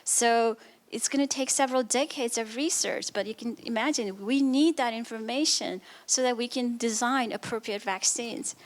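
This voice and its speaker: A woman from Nepal, a researcher, giving a public science talk and answering audience questions in an earnest, explanatory way. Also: on the high side. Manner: earnest, explanatory, frequent restarts. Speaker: immunology researcher.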